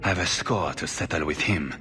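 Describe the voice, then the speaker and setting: A man's voice: low voice, brisk, pushed and bright, faint music behind. A man acting a desperate, vengeful blood mage in a video game, intense, defensive and insistent. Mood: grim, determined. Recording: noisy, long-echoing hall.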